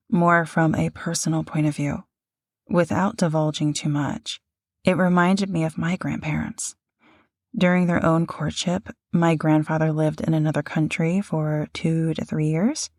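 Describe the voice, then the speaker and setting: A woman with a fairly low voice talks as a podcast co-host. She is gentle and measured, reflective like someone sharing a personal story, in a very dry, close recording. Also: dry recording.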